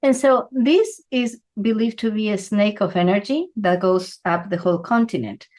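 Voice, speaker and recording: A woman from Ecuador gives a relaxed, conversational lesson. She explains things at an unhurried, talky pace and keeps checking in with a quick "right?". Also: mid-range voice. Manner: conversational, asks "right?" often. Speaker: feng shui teacher. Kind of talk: explanatory talk to camera.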